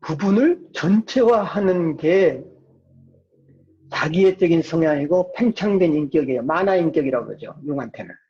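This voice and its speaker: A man's voice: on the high side, animated, normal speed, a little mellow. A man lecturing informally as a psychology professor, often asking questions and answering them himself. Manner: asks and answers own rhetorical questions. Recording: dull, muffled lo-fi lecture recording.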